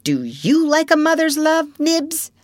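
A woman voicing excitable, wide-eyed little boys in a storybook, eager and exclaiming. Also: high-pitched, a little slow, dry recording, pitched above the performer's own voice. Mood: thrilled.

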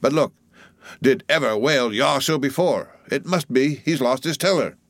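A man's voice: mid-range voice, normal speed, a bit bright, dry recording, clean studio sound, voice pushed up. A man voicing a jocular, blustering whaleboat mate in an audiobook, hectoring his crew with exclamations and rhetorical questions. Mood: jovial mockery. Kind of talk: audiobook storybook character voice.

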